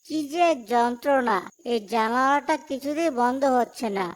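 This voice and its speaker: A man's voice: very high voice, normal speed. A man playing a practical, wary young husband in a cartoon, complaining and uneasy, with music behind him. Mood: frustrated. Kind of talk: cartoon dialogue acting.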